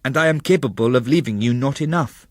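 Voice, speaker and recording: A man's voice: mid-range voice, fast talker, no room sound, lightly acted. Male narrator as old French innkeeper, mild, courteous, friendly, storybook character, dry sound.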